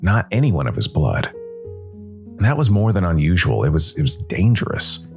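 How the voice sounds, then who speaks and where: A man narrating a first-person noir story as a ghostly jazz musician investigator, with a dramatic, deliberate delivery and weighted pauses. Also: low-pitched, very animated, gravelly, darkish tone, faint music behind. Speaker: solo storytelling podcast narrator.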